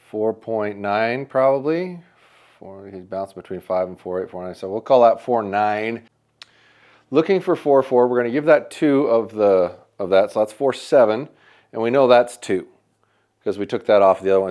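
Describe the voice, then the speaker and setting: A man reviewing a bow at a shop, casually talking through each measurement as he checks it. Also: lowish voice. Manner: upbeat, talks through measurements as he works; says he has a loud voice. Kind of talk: casual hands-on product testing.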